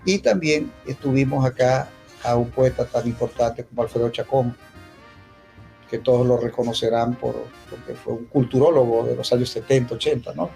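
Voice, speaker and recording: A Venezuelan man giving an unscripted public talk, reminiscing in a halting way and restating points with emphasis. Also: mid-range voice, fairly even intonation, gravelly, mellow, soft background music. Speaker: poet speaking at a poetry festival.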